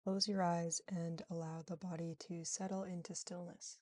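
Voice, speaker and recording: A woman guiding a yoga nidra relaxation in a soothing, gentle, unhurried manner with long pauses. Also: on the low side, little pitch movement, dry recording. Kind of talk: guided relaxation meditation.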